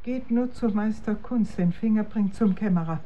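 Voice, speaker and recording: An actress playing a reluctant, wary midwife in a historical radio play, speaking in terse, guarded, archaic phrases. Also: female voice, medium pitch, normal speed, narrow, tinny audio, noisy background, close to an everyday voice. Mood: grave, resigned. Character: wary, reluctant wise midwife of olden times.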